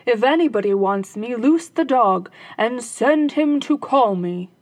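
A sly shoemaker speaks up boldly and takes his time, pleased with his tricks, as a woman reader voices him. The voice sits a little high and slightly husky, recorded dry and near. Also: animated, a little slow, no room sound. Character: cunning village shoemaker, grown man, sly.

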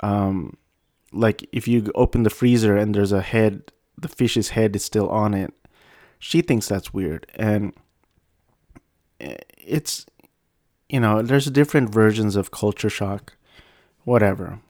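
Self-deprecating rambling man, low voice. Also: slow, long pauses, a little mellow, dry recording, studio quality. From United States. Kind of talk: casual personal storytelling.

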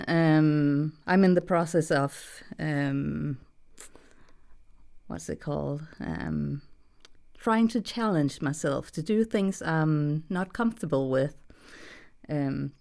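A woman's voice: low voice, slow, gravelly, a little mellow. A young adult woman with a Danish accent, a voice actress talking as a guest, hesitant with many fillers and frequent laughs. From Denmark. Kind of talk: casual interview chat.

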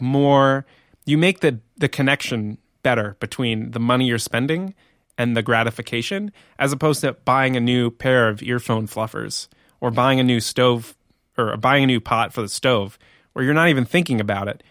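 A man co-hosting a podcast chat, relaxed and loose, dropping the odd curse word. His voice sits in the middle range, and it is recorded close and dry with no room sound. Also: mid-range voice, normal speed, no room sound. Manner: loose and laid-back, swears casually. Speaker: podcast co-host. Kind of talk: casual chat.

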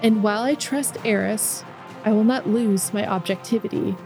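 A woman voicing a calm, authoritative female leader who speaks gently and coolly in a story read aloud. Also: medium pitch, normal speed, music in the background, close to an everyday voice.

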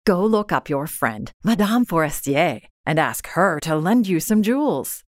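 Woman playing a clerk husband, low voice, patient, well-meaning, plain-spoken, storybook character, dry sound. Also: sing-song, unhurried, no echo. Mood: urging.

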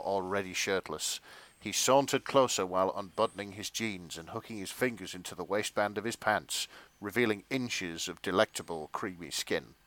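A man narrating comic fan fiction on a podcast in a theatrical, deliberate way. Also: lowish voice, fairly bright tone. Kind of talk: dramatic comic reading of fan fiction with casual banter.

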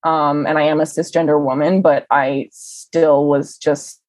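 A young woman telling stories in a casual interview, chatty and animated, with lots of "um" and "like". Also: deep voice, a little slow, dry recording. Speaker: interview guest. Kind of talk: casual conversational storytelling.

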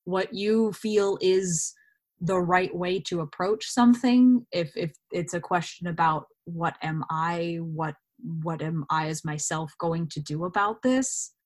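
A woman's voice: lowish voice, unhurried. A woman chatting informally as a guest in a casual podcast interview. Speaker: podcast guest, actor and cosplayer.

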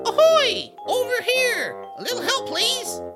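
A man voicing a boastful little male rodent adventurer in a family audio drama, squeaky and raspy, with a showy, excitable manner. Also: a little slow, loud music behind the voice, full character voice. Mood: excited calling.

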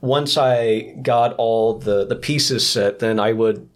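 A man's voice: medium pitch, slow. A man with a mid-range voice speaks as a podcast guest. He explains things in a casual, conversational way and sounds enthusiastic about what he makes. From expat living in South Korea. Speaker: podcast guest, screenwriter and audio drama creator.

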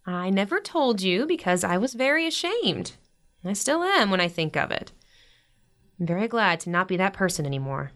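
A woman playing a warm, gently teasing middle-aged mother in a naturalistic audio drama, giving earnest advice. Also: mid-range voice, very animated, moderate pace. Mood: candid.

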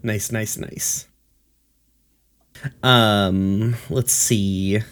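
A man's voice: lowish voice, steady tone, very slow, fairly bright tone, smooth. A man hosting a podcast in casual, joking chat, loose and a bit sweary. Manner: laid-back, joking, sweary. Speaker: podcast host.

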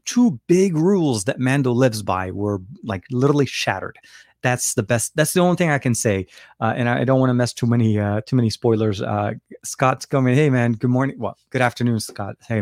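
A man from Lebanon hosting a casual livestream, chatting in a rambling, halting way with many restarts and fillers. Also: medium pitch, expressive, darkish tone, no room sound, clean studio sound. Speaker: livestream host.

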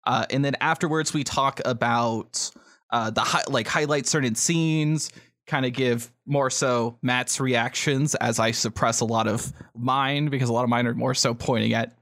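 Mid-pitched man, dry close sound. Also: steady tone, moderate pace, a bit bright, no echo. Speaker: podcast co-host. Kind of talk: casual, jokey podcast chat.

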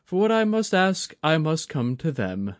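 A man voicing an older male wanderer, sly, guarded and measured in his politeness. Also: on the high side, sing-song, dry recording, voice pushed up.

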